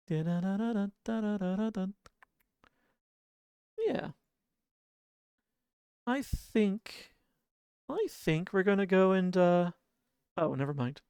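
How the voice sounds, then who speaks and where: Sleepy-voiced, smooth-toned game streamer. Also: mid-range voice, slow, long pauses, no room sound, clean studio sound. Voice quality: sleepy-sounding voice. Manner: soft, relaxed, chuckles often and hums idle filler tunes. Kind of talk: casual livestream chat.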